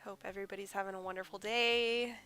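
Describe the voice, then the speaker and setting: A woman's voice: mid-range voice, fairly even intonation, quick pace, bright, forceful tone, smooth, faint music behind. A woman, congested from a cold, chatting casually and rambling a little as she hosts a crochet live stream at home.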